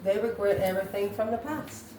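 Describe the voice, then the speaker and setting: A woman's voice: mid-range voice, fairly quick. Echoey, distant woman reading tarot. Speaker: tarot reader, host. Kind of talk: intuitive tarot card reading, talking to viewers. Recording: roomy echo, distant mic, background noise.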